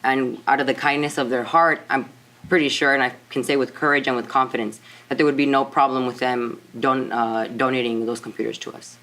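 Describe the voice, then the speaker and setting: A man's voice: mid-range voice, fairly even intonation, brisk, bright, forceful tone. A teenage boy presenter answering questions at length, earnest and wordy, with many fillers. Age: teens. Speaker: student presenter.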